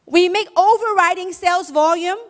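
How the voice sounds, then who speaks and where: A woman's voice: very high-pitched, moderate pace. A woman with a Chinese accent gives a motivational business talk to an audience, often asking questions and then answering them. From China. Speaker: business speaker. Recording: echoey hall.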